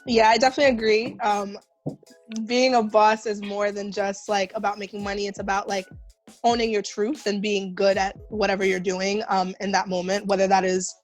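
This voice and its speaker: A woman's voice: mid-range voice, flat delivery, moderate pace, soft background music. A woman in her twenties, born in Haiti, co-hosting a casual podcast and joking around with many laughs and ums. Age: 20s. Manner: laughing, joking, loose with fillers. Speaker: podcast co-host. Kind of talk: casual group podcast chat.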